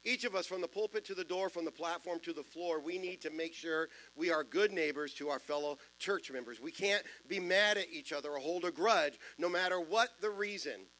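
A man in his fifties with a deep voice, preaching a sermon in a church in a conversational way, with self-deprecating jokes and asides. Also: brisk, hardly any pauses, bright, forceful tone, low-quality recording. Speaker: pastor. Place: church sanctuary.

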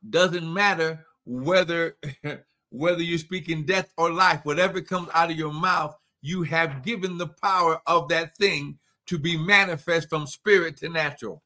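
A man's voice: on the high side, bright, forceful tone. A man co-hosting a faith talk show, speaking in an emphatic preacherly way and calling out to listeners. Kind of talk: preaching conversation.

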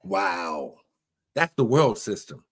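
A man's voice: low-pitched, sing-song, slow, a bit bright, husky. A man in a faith talk conversation, speaking in a preacherly way, quoting scripture and building to emphatic peaks.

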